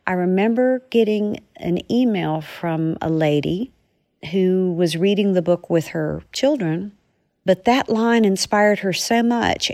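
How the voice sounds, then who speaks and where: A woman's voice: lowish voice, darkish tone, no room sound, studio quality. A woman author talking to listeners, sharing a personal anecdote in a conversational way. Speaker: author sharing a reader story.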